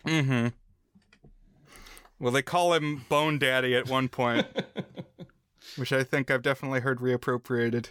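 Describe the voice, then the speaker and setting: Bright, clear-voiced American man discussing film. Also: medium pitch, fairly even intonation, measured pace, pauses often, dry recording, clean studio sound. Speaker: podcast co-host.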